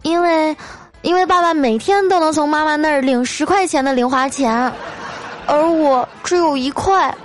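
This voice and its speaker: A woman putting on a high-pitched cheeky little boy. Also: moderate pace, close to an everyday voice. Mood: earnest. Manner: childlike, blurting, hesitant restarts. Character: cheeky little boy nephew, earnest, whiny, blunt.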